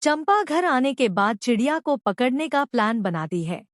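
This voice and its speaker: A woman's voice: sits high, big pitch swings, normal speed, no echo, studio quality. A woman narrating a scripted moral story for children as a storyteller.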